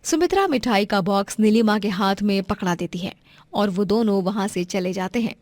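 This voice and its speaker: A woman's voice: medium pitch, moderate pace, a little mellow, no echo. A woman narrating a scripted moral story as its storyteller.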